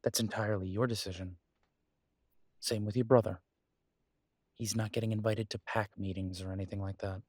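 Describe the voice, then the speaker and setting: A man playing a conflicted, protective werewolf pack leader in an intimate audio roleplay, speaking hesitantly and reflectively with halting pauses. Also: lowish voice, fairly even intonation, a little mellow, slightly rough, no echo, clean studio sound. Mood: reassuring.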